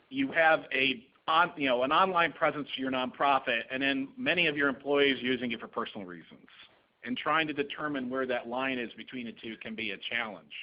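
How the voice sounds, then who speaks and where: A man in the middle pitch range presenting at a seminar, explaining practical points in a brisk, plain way. The recording is dull and muffled, like a meeting room webcast. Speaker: lawyer presenter. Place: conference room with a live audience.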